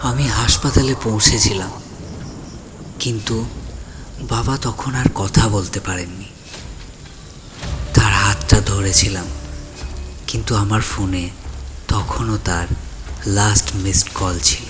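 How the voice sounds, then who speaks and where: A man's voice: lowish voice, steady tone, takes it slowly, long pauses, music in the background. A man playing a lonely, regretful young man in an audio story, speaking in a subdued, heavy-hearted way. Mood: grieving, guilty. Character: regretful lonely young man grieving his father, withdrawn, sorrowful. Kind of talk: dramatized audio story acting. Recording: voice over rain and thunder ambience.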